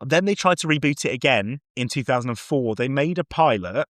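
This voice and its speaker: Male podcast guest, mid-pitched, effusive and chatty, giving casual reviews on a dry recording. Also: lively intonation, fairly quick, fairly bright tone, no room sound. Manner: enthusiastic, effusive.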